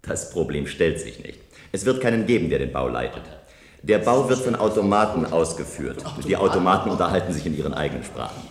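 An actor playing a self-assured visionary engineer in a German science fiction radio play, speaking in measured, persuasive statements. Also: male voice, deep voice, animated. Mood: confident, persuasive. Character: self-assured visionary male engineer proposing a bold tower. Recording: roomy, echoing studio radio play sound.

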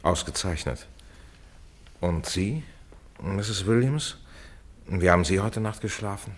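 An actor playing a composed, courteous middle-aged male doctor in a German radio play, measured and polite in delivery. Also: deep voice, lively intonation, exaggerated voice.

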